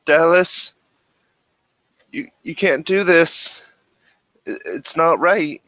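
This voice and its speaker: A man voicing a sobbing android woman who pleads haltingly in a distraught way. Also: on the high side, bright, forceful tone, no echo, voice pushed up, exaggerated voice. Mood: distraught pleading.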